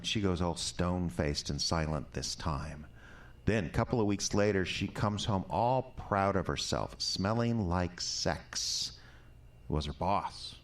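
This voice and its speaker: An actor playing an unstable, bitter drunk ex-husband who rambles crudely and menacingly in an audio drama. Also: male voice, low voice.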